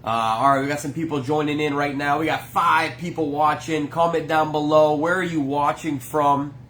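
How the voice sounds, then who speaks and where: Brief: man, stuffy nose, easygoing business owner chatting on a live stream, voice sits a little high, faint hiss behind. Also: fairly even intonation, normal speed, a bit bright. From Maine. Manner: chatty, greets viewers by name. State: congested. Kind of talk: casual live-stream chat. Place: workplace, indoors.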